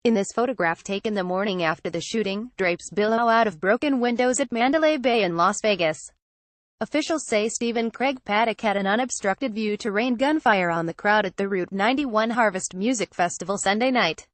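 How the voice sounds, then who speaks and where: A woman narrates scripted news at a medium pitch. She reads it out literally, with a synthetic delivery. The sound is very dry and close, free of room echo. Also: brisk. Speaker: news reader.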